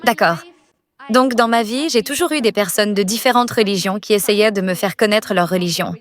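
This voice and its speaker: A woman's voice: medium pitch, brisk. A young woman whose first language is Italian, an audience member earnestly and somewhat hesitantly asking a speaker questions about faith. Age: young adult.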